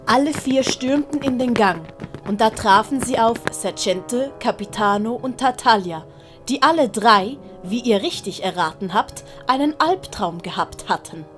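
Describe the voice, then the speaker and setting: A woman's voice: fairly high pitch, expressive, unhurried, faint music behind. A woman narrating a scripted children's audiobook as its storyteller.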